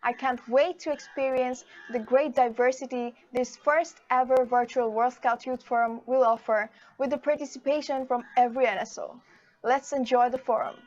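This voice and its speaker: A woman's voice: sits high, fairly even intonation, normal speed, darkish tone. A woman from Bangladesh giving an upbeat, eager recorded video message. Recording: slightly dull sound with faint music.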